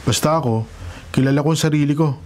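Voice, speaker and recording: A man's voice: on the high side, fast, a little mellow, close to an everyday voice. A man acting in a Filipino radio drama as a self-assured, carefree townsman with a defiant, matter-of-fact manner.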